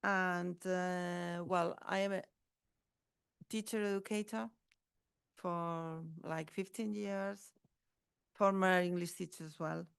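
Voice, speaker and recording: A woman's voice: fairly low pitch, steady tone, takes it slowly, gravelly, long pauses, clean tone, no room sound. A woman from the Basque Country, a teacher educator, introducing herself on a panel with hesitant restarts. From Basque Country, Spain. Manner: hesitant, restarting words, many fillers.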